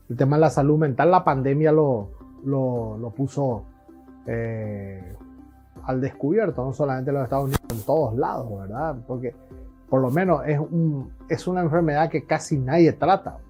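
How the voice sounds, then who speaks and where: A man's voice: medium pitch, normal speed, mellow, faint music behind. A man hosting an interview, agreeing often and asking questions with hesitant restarts. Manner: agreeable, many short affirmations, hesitant restarts. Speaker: interview host. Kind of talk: interviewing, affirming. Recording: dull lo-fi sound.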